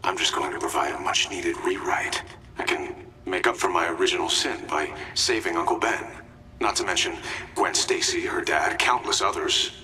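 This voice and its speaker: An actor playing a smug, mocking older Peter Parker who has become a villainous corporate CEO, in a video game cutscene. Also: male voice, low voice, moderate pace, sharp tone, breathy edge, heavily acted, rough recording. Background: music.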